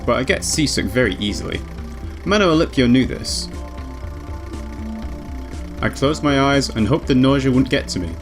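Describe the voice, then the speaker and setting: Male let's player, mid-pitched and expressive, reading story narration with music behind. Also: normal speed, pauses often. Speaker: let's player reading a visual novel aloud. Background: background music.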